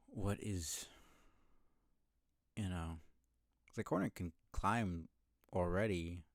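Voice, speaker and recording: A man's voice: deep voice, darkish tone, no room sound, clean studio sound. A man doing casual live commentary as he plays a video game, musing and rambling as he thinks aloud. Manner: musing, rambling, mild swearing.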